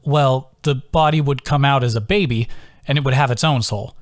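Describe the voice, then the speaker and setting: A man's voice: medium pitch, moderate pace, no echo, studio quality, lightly acted. A man voicing a thoughtful, frank young woman adventurer with a wry, questioning manner in an audiobook.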